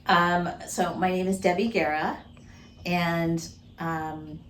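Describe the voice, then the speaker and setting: A woman in her sixties, a retired minister, answering interview questions slowly and thoughtfully, her voice trailing off softly. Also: fairly low pitch, fairly even intonation, reverberant, a little hiss or hum. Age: 60s.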